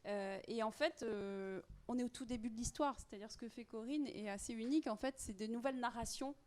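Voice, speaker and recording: Woman moderating a panel, halting delivery. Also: on the high side, darkish tone. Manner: hesitant, repeats words, fills gaps with "euh".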